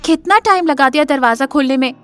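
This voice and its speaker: Actress as shrill, scolding, bossy mother. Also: fairly quick, over music, lightly acted. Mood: irritated, scolding. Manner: nagging, sarcastic, shouting. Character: Geeta, sharp-tongued bossy scolding mother. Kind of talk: audio drama acting.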